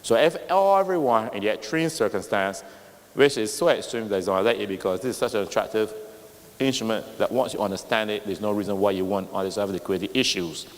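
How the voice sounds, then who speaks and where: A loud-voiced man answering a question at a meeting, patiently explaining with worked examples. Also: lowish voice, fast talker. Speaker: official explaining bonds. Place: meeting hall. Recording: long reverb in a hall.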